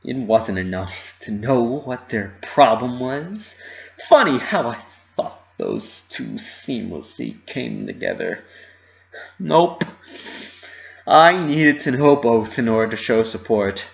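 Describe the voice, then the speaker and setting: A man's voice: medium pitch, very animated, takes it slowly, gravelly, dull audio. A man giving a theatrical, emotive dramatic reading of a first-person story. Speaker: amateur story reader.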